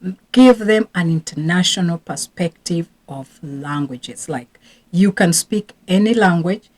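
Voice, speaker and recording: A woman from Rwanda, a teacher and parenting coach, talking casually and earnestly to camera about her own parenting regrets. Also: on the low side. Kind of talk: casual advice talk to camera.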